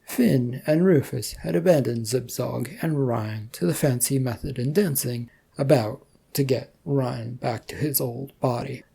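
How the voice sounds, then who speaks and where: A narrator reads scripted fiction in a mid-range voice. The delivery is gravelly and husky, with a soft, mellow tone. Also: medium pitch, measured pace, few pauses.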